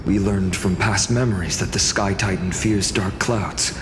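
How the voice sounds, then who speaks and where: A man's voice: deep voice, steady tone, unhurried, noisy background. A man voicing an earnest, courteous hero in a fantasy game, formal and composed. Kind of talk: scripted fantasy game dialogue. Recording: long hall reverb, music behind.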